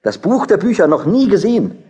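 An actor playing a devout, questioning young German student in a historical audio drama, speaking in an earnest, declamatory way. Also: male voice, sits high, wildly swooping pitch, a little fast, dark tone, breathy edge, highs cut off, heavily acted. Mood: earnest, eager.

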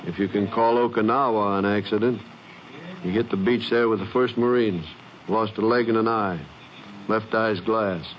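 An actor playing a plain-spoken small-town sheriff with a rural Texan accent in a 1950s radio western. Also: male voice, fairly low pitch, fairly even intonation, moderate pace, lightly acted. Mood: helpful. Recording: muffled, hissy old radio recording.

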